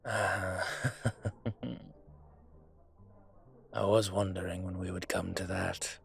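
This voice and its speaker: A man playing a brooding, courteous and vengeful dragon mafia boss in an intimate audio roleplay, speaking in a measured, hesitant way with a husky voice. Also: low-pitched, flat delivery, soft background music, exaggerated voice. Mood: reflective. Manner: measured, hesitant, pausing often. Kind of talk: audio roleplay drama, intimate dinner conversation.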